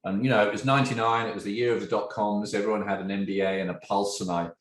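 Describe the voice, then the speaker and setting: Fifties English man, Aussie-tinged accent, lower pitch, lively storytelling guest in an echoey room. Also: fast. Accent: English, softened by years in Australia. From England. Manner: animated storyteller, poses questions to his listener. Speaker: guest, tech entrepreneur. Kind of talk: conversational podcast interview. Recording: roomy echo.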